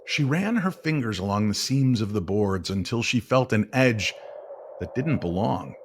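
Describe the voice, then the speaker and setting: A man narrating a scripted mystery story in a nostalgic old-time radio style, with measured, theatrical phrasing. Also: on the low side, animated, slight background noise. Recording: music playing behind the voice. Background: music.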